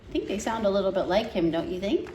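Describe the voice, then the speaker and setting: A woman's voice: mid-range voice, big pitch swings, fast talker, dark tone. A woman reading a picture book aloud to children as storytime host, dramatic and full of questions and chatty asides to her listeners. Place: indoor room at home. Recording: roomy echo, faint background noise.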